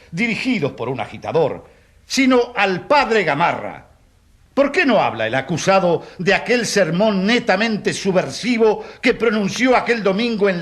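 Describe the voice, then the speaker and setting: A pompous prosecuting lawyer in a radio drama. He is a man with a high voice and dramatically swooping intonation, declaiming with scornful accusation. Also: a bit bright. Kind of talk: radio drama courtroom oratory.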